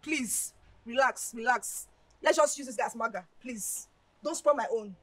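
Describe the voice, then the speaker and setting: An actress playing a cajoling, money-minded young best friend with a Nigerian accent, coaxing and pleading theatrically in Pidgin-tinged phrasing. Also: female voice, on the high side, normal speed, slightly rough, no echo. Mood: wheedling. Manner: wheedling, coaxing, repetitive pleading. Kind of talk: lively screen drama acting with Pidgin phrasing.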